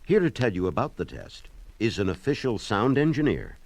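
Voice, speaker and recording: A man's voice: lowish voice, sing-song, moderate pace, a little mellow, no room sound. A man announcing in scripted narration, mock-solemn and formal.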